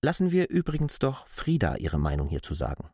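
An actor playing a stubborn, self-assured outsider in a radio drama, reasoning articulately and insistently. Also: male voice, medium pitch, wildly swooping pitch, brisk, darkish tone, slightly rough, no echo, studio quality, heavily acted. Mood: patronising, insistent. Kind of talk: literary radio drama acting.

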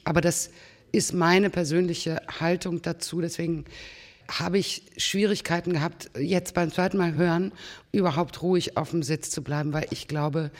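Opinionated man with highish voice. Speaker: discussion participant. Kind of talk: opinionated panel discussion.

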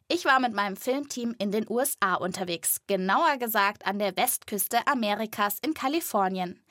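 A woman with a fairly high voice hosts a children's animal podcast. She delivers scripted narration in a lively way, recorded dry and close. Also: no room sound.

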